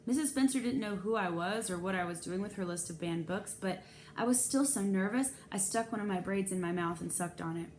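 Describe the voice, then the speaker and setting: A woman's voice: medium pitch, fairly quick, a little mellow. Woman reading a children's novel, noisy recording. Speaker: storybook reader. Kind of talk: expressive read-aloud narration of a children's novel. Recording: clear background noise.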